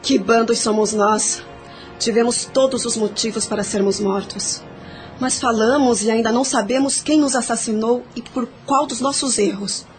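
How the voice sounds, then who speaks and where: A woman's voice: fairly high pitch, normal speed, lightly acted, low-quality recording. A woman playing a blunt, self-reproaching murderess among dead spirits in an audio drama, frank and reproachful. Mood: questioning. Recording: music under the voice.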